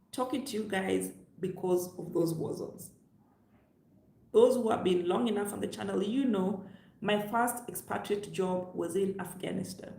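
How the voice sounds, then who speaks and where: A Kenyan-accented woman speaks straight to her audience in a forceful, preachy way, pressing her points home. Her mid-range voice carries a noticeable room echo, as if she is sitting in a bare room of her house. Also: medium pitch. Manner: emphatic, preachy, addresses viewers directly. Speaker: travel vlogger. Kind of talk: direct-to-camera advice vlog. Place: room on the upper floor of her home, seated.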